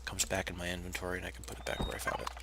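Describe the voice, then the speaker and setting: A man with a low, raspy voice, hoarse because he is unwell, explains a game build at an easy pace, stopping to correct himself now and then. The home recording carries a steady hiss, and birds chirp faintly in the background. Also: racing. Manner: relaxed, explanatory, with frequent uh fillers and restarts. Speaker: game tutorial narrator. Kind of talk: casual step-by-step gameplay tutorial.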